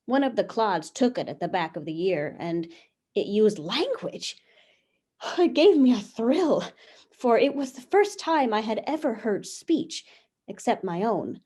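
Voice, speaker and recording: A woman's voice: on the high side, very animated, measured pace, warm tone, breathy edge, full character voice. A woman playing Eve, a newly made, curious and talkative first woman, reading her diary in a wondering, chatty way over a video call. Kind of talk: stage reading of a comic play.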